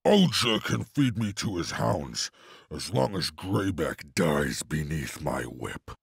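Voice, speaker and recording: An actor playing a cruel, vengeful warlord in a fantasy game, with a raspy growl and menacing, theatrical delivery. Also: male voice, low voice, big pitch swings, slow pace, dry recording, studio quality, heavily acted. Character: cruel male Serpent Clan warlord, whip-wielding, vengeful, leering. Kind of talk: dramatic fantasy game voice acting.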